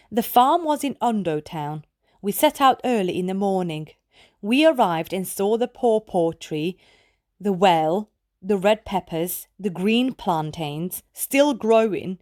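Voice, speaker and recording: A woman reads poetry aloud for children at a measured, deliberate pace of phrasing. Her pitch sits in an ordinary female register but moves up and down in an animated way. The words are clearly enunciated, and the sound is close and dry. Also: dry recording. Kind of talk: scripted read-aloud poetry narration.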